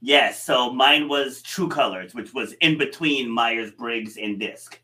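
A man in his forties telling stories in a relaxed podcast conversation, laughing easily. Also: mid-range voice, takes it slowly. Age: 40s. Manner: storytelling, animated, laughs easily. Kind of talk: casual conversation.

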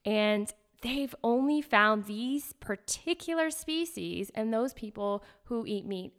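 A woman's voice: fairly high pitch, animated, slow. A woman doctor, a guest on an interview, explaining medical terms step by step in an earnest way.

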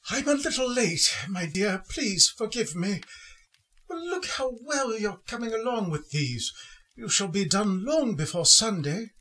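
A man playing a gentle, grieving Victorian father in a radio play, speaking tenderly and earnestly in a husky voice. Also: sits high, expressive, a little slow, exaggerated voice. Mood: gentle, apologetic. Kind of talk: sentimental radio play acting.

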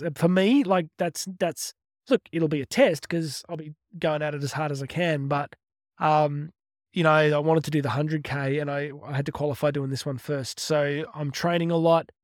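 A man, giving an energetic, conversational motivational podcast talk on his own and often restarting his words. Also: a little high, moderate pace, dry recording, studio quality. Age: 50s. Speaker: motivational speaker. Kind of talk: solo motivational podcast monologue.

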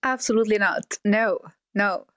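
A woman from Nazareth speaks as an interview guest, mid-pitched and chatty. She talks with easy fillers. The recording is dry and close. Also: moderate pace, dry recording. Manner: conversational, with fillers. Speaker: guest, business consultant. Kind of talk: interview answers.

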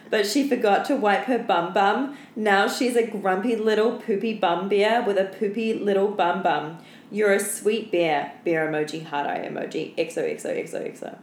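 A cringey, volatile boyfriend played by a woman reads his texts out loud. The baby talk and crude insults are delivered as a podcast bit, in a roomy, echoing space with clear background noise. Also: medium pitch, close to an everyday voice. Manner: babyish pet names mixed with crude insults. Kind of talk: dramatic read-out of text messages.

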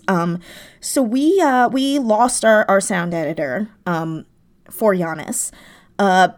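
A woman speaking as a guest in a casual interview, effusive and emphatic, often repeating words to stress a point. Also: medium pitch. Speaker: interview guest, audio drama writer. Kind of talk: enthusiastic casual interview chat.

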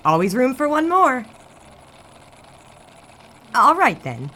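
A woman playing a friendly, chatty 1920s newspaper office worker taking a telephone call, polite and halting. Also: high voice, wildly swooping pitch, pushed and bright, slight background noise, heavily acted. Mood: friendly, slightly flustered. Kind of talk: radio drama phone conversation.

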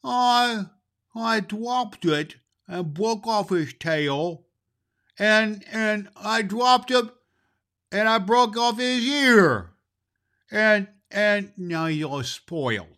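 Man putting on a high, babyish little girl. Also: animated, very slow, bright, forceful tone, exaggerated voice. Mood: tearful, stammering. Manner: babyish stammering speech with mispronounced words. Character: sad little girl, tearful, lisping, easily delighted.

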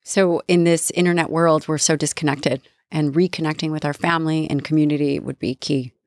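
A woman interviewer asking questions in a soft, calm manner. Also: low-pitched, a little fast, no room sound, studio quality.

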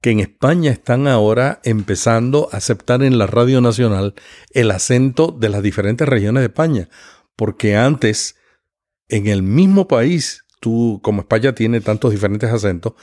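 A man's voice: mid-range voice, lively intonation, normal speed, darkish tone, dry recording, clean studio sound. A Puerto Rican man interviewing a guest, with a Puerto Rican accent, chatty and anecdotal. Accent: Puerto Rican Spanish. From Puerto Rico. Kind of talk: conversational interview.

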